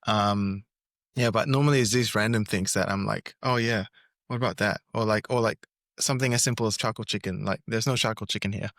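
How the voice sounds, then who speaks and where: A man's voice: lowish voice, steady tone, a little fast, vocal fry, fairly bright tone, no echo, studio quality. A man from Singapore, a musician, answering interview questions in an easygoing, hesitant way, often restarting his phrases. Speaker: musician guest. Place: Seoul, recording remotely.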